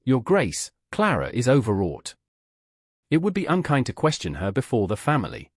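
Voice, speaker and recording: A man acts out an older Regency gentleman from a storybook, smooth and controlling. He speaks formally and with condescension, used to giving orders. The pitch is middling but moves a good deal. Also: wildly swooping pitch, moderate pace, dry recording, studio quality. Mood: smoothly paternal.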